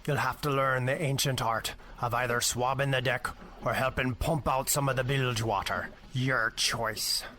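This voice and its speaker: Guy doing gruff sly pirate first mate, pirate accent, hearty slangy drawl, bit of background noise. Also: mid-range voice, steady tone, moderate pace, bright, forceful tone, close to an everyday voice. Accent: put-on pirate. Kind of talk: amateur audio drama acting.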